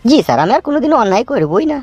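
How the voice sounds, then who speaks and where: A man's voice: very high voice, big pitch swings, very fast, a little mellow, no echo, pitched above the performer's own voice, heavily acted. A man voicing a meek young accused man who pleads with the police in a cartoon. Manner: submissive, pleading.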